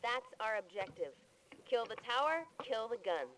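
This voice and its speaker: A woman's voice: a little high, very animated, normal speed. Urgent woman combat driver, noisy audio. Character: urgent female vigilante driver in a car battle. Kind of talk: video game cutscene voice acting. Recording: noisy game audio with engines behind.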